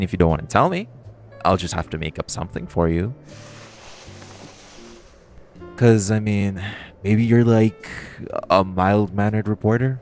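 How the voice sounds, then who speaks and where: A man's voice: lowish voice, darkish tone, close to an everyday voice. A man playing a flirty, cocky supervillain thief in an audio roleplay, chatting casually and teasingly to the listener. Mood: playful, flirty. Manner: casual, teasing, conversational with playful pauses.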